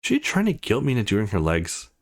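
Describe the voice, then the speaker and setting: A man's voice: on the low side, huge pitch swings, a little fast. A man voicing an awkward, nervous young male protagonist of a visual novel, as if muttering his inner thoughts. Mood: conflicted.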